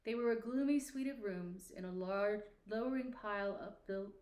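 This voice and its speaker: A woman reading a classic story aloud as narrator, with expressive, theatrical, deliberate phrasing. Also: mid-range voice, roomy sound. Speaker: audiobook narrator.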